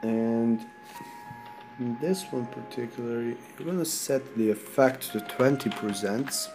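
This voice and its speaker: A man from Serbia with a Serbian accent, sick, talking through a software tutorial in halting non-native English while he clicks. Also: mid-range voice, over music. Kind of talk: screen-recorded software tutorial.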